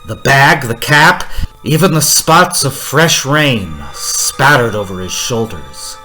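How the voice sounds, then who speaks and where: Guy acting a haunted driver telling his creepy radio tale, halting and urgent, mid pitch, bit of music. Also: animated, sharp tone, poor audio. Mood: tense. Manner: halting, repeats himself, urgent. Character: haunted male motorist narrating his uneasy lonely road journey. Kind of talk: 1940s-style suspense radio drama narration.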